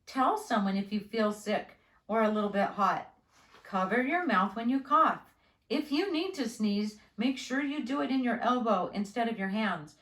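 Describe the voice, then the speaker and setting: A woman voicing a calm, reassuring father who patiently explains things to his child in a storybook. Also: a little high, moderate pace, lightly acted. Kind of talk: children's storybook read-aloud. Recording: roomy, echoing indoor sound.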